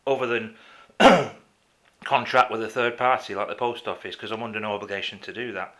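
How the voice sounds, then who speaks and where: A man making a complaint as a caller in a phone conversation, insistent and halting, often repeating himself. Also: fairly low pitch, fairly even intonation, sharp tone. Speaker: caller to a council office.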